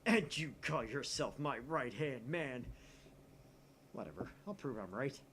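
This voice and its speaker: A man's voice: mid-range voice, expressive, unhurried, a bit bright. A man voicing a bombastic, self-styled young mad scientist in a visual novel, theatrical and indignant as he barks orders, with some background noise. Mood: indignant, commanding.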